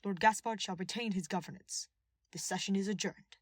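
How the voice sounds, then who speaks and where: A woman's voice: mid-range voice, brisk, dry recording, close to an everyday voice. A woman voicing a young male king, formal, stern and earnest, in an audiobook drama. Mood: stern, commanding.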